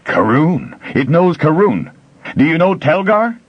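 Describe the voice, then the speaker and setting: A man voicing an excitable young male apprentice. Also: measured pace, dry recording, highs cut off. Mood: excited. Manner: excited, high.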